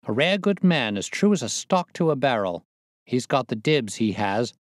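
A man's voice: medium pitch, normal speed, dry recording, studio quality, voice pushed up, lightly acted. A man reading a story aloud, voicing a boastful, swaggering young male convict who leads a plot. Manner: boastful, coarse, excitable.

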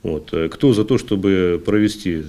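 Mellow-voiced man chairing a meeting. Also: low voice, moderate pace. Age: 40s. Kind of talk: formal procedural reading with hesitations. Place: meeting hall, seated at a table.

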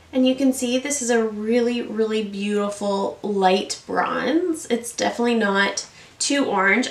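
A woman's voice: medium pitch, vocal fry, fairly bright tone. Woman in her 30s with a cold, congested, chatty beauty vlog in an echoey room. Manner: chatty, enthusiastic, talks while applying makeup. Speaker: beauty vlogger. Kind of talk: product review and makeup tutorial. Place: indoor room, seated at a plain backdrop.

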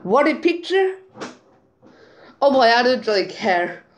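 A woman reading group chat messages aloud over a screen recording, in a slow, halting way with muttered asides, on a phone mic. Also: a little high, very animated, a little hiss or hum. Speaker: channel owner.

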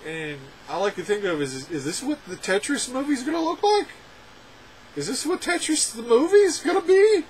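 A young adult man reviewing a film from a room at home, talking sarcastically with animated, exaggerated outbursts. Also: very high-pitched, normal speed, a little hiss or hum, poor audio. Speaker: movie reviewer. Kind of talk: casual ranting film review. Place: home room with packed shelves, indoors. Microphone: webcam framing at desk.

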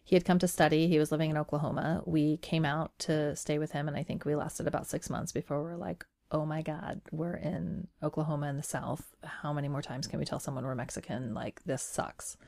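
A woman's voice: low voice, steady tone, brisk, creaky, hardly any pauses, darkish tone. A woman in her forties, from Iran, telling her life story as a podcast guest with wry humor and frequent laughs. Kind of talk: casual interview conversation.

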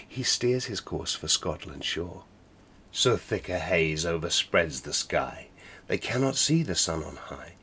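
Low-voiced man reciting a ballad theatrically. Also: slightly rough. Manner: theatrical, swelling from hushed to booming. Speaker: storyteller and balladeer.

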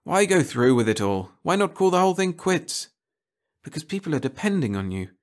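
A man's voice: a little high, very animated, brisk, voice pushed up. A man voicing a tough, sardonic, unimpressed woman agent with a dry, scolding manner in a story.

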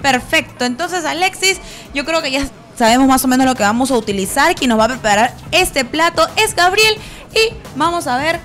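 A woman's voice: high voice, lively intonation, moderate pace, echoey room. A young woman hosting a food show, presenting with cheerful enthusiasm from inside a restaurant. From Peru. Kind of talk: upbeat TV presenting. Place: restaurant kitchen and dining room, indoors. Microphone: handheld mic. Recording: loud music behind.

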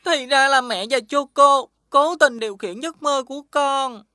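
A woman voicing a playful, sincere young child in an animated series. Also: sits high, measured pace, no echo. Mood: earnest. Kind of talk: Vietnamese animated series dubbing.

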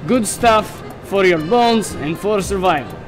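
A cheerful puppet chef, guiding a comic tour. The voice sits high and is eager about food. Light music plays along. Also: male voice, expressive, unhurried. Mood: cheerful, curious. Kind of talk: scripted puppet sketch tour.

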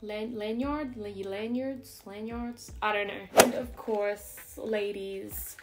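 A woman's voice: medium pitch, fairly even intonation, takes it slowly, heavy background noise. A young woman in her twenties chatting casually in a show-and-tell fitness vlog at home, upbeat, with small laughs and loose asides. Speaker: fitness vlogger. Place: bedroom at home, indoors.

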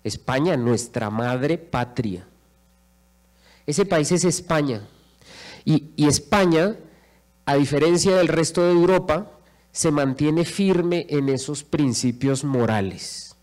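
Middle-aged Colombian man, fairly high voice, rousing lecture into a handheld mic, rhetorical repetition. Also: slow pace, darkish tone. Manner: rhetorical, repeats phrases for emphasis, paces the stage. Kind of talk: impassioned public speech. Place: large auditorium stage. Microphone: handheld microphone.

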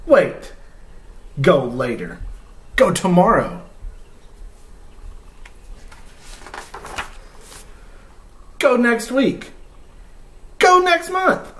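A man's voice: high voice, a bit bright, voice pushed up, heavily acted. A man voicing an anxious, clingy cartoon elephant in a storybook read-aloud, pleading dramatically with a best friend. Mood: desperate pleading. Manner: dramatic, pleading, drawn-out repeated questions. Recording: roomy indoor echo.

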